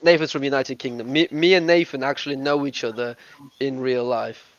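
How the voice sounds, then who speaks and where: Brief: man from Russia, group host, quick stop-start talker, dry lo-fi call audio. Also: medium pitch, fairly even intonation, no room sound. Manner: eager, talks in bursts with many restarts and fillers. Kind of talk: casual group voice chat.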